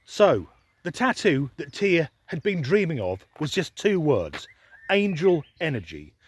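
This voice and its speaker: A man's voice: on the high side, sing-song, a little slow, slightly rough. An English man vlogging to a handheld camera, grumbling with dry, sweary humor. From England. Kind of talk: sardonic vlog monologue. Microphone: handheld camera filming himself.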